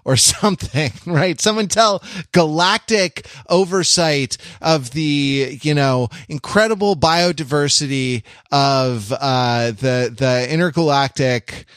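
Higher-voiced man riffing on a dry podcast. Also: takes it slowly, few pauses, fairly bright tone, dry recording. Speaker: podcast co-host. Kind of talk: casual comic banter and commentary.

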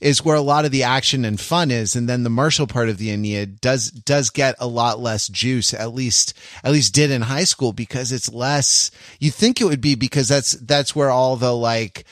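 A man with a medium-pitched voice chats casually as a podcast co-host. He feels his way through phrases haltingly, with wry self-deprecation. The sound is dry, with little room around it. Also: fairly bright tone, no echo. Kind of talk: casual chat.

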